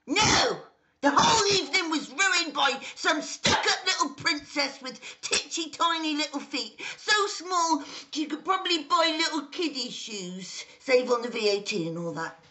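Shrill, brash pantomime ugly stepsister. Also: male voice, animated, moderate pace, sharp tone, exaggerated voice, poor audio. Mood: scornful. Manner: shrill, exaggerated, cackling dame delivery. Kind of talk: broad pantomime dame acting. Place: indoor room, video call.

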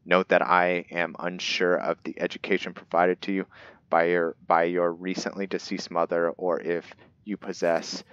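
Male gaming host, low-pitched voice, casual playthrough narration, slightly dull lo-fi mic. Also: little pitch movement, normal speed, fairly bright tone, clean tone, no echo. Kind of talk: casual game commentary.

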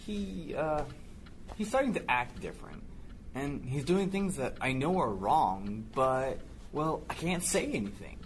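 Man playing hesitant son with lively pitch. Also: unhurried, slight background noise. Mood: hesitant, worried. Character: hesitant, worried schoolboy son confiding in his dad. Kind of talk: audio drama acting.

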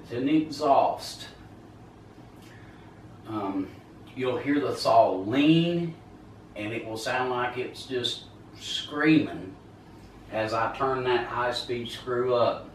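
A man's voice: mid-range voice, slow pace, pauses often, recorded from a distance, slight background noise. A man explaining a chainsaw repair step by step, raising his voice to carry over the engine, kneeling at his work in a large garage. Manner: loud over running chainsaw, talks while working. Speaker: small-engine repair instructor. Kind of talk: hands-on repair tutorial. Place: large garage with concrete floor. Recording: echoey garage, off-mic.

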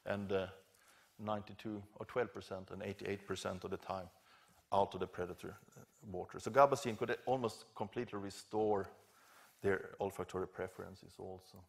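A man speaks as a professor giving a keynote lecture. His voice sits fairly low, with a lot of gravelly creak. He explains in an informal, somewhat halting way, correcting himself along the way. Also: fairly even intonation. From Scandinavia. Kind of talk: academic conference lecture. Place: large lecture theatre stage at a podium.

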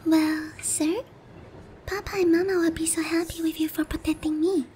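A woman's voice: very high voice, fairly even intonation, moderate pace, warm tone, slight background noise. A woman playing a naive, sheltered young harpy noblewoman in a roleplay ASMR, polite, hesitant and earnest in a girlish way. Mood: shy, happy.

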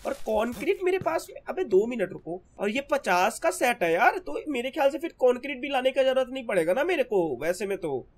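A hoarse young man with a sore throat, chatting casually as he narrates his gameplay to his viewers. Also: very high-pitched, sing-song, brisk, hardly any pauses. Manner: chatty, keeps calling viewers brother. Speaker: gaming channel host.

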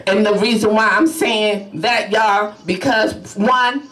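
A woman's voice: medium pitch, steady tone, slow pace, fairly bright tone. Brief: woman evangelist, fervent sermon, heard from a distance in an echoey church. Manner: fervent preaching punctuated by hallelujahs. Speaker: evangelist preacher. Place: small church sanctuary, at a pulpit. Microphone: podium mic, filmed by a far camera. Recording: roomy church sound from a distance.

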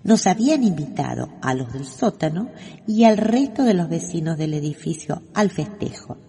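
A woman's voice: low voice, animated, moderate pace, dark tone, slightly rough, exaggerated voice. A woman playing a neighbor who tells the story in a radio play. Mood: storytelling. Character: female neighbor recounting events as storyteller.

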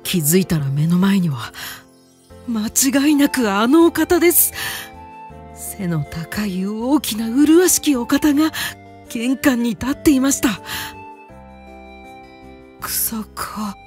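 Young male student, polite and starstruck, high-ish voice, unhurried, anime drama, music behind female actor. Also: lively intonation, darkish tone. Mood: timid, awed. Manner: formal, flustered inner monologue.

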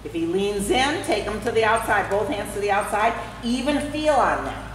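A woman's voice: medium pitch, sing-song, normal speed, distant mic. Woman riding coach in a very echoey arena. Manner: repeats short commands. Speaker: horse riding instructor. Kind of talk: live coaching, calling out instructions. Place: large indoor riding arena. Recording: very echoey, reverberant hall with faint noise.

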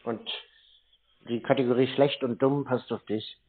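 A man's voice: mid-range voice, steady tone, moderate pace. Blunt German man, thin phone sound. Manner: dismissive, blunt, mocking. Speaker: scam baiter taking a phone call. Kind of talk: casual, confrontational phone talk. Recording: thin narrow-band phone audio.

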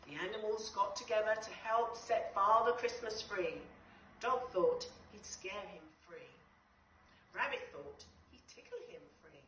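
A middle-aged woman reading a picture book to young children in an expressive, deliberate way with dramatic pauses. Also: medium pitch. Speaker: nursery school storyteller. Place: small nursery classroom, indoors. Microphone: camera a few metres away. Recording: roomy, distant, noisy phone-style video.

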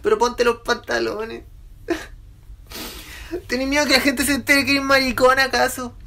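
A woman's voice: sits high, little pitch movement, moderate pace, pushed and bright. A woman with a Chilean accent playing a hurt, defiant, lovesick teenage boy in a confrontational radio drama. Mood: tearful, desperate. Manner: colloquial, confrontational.

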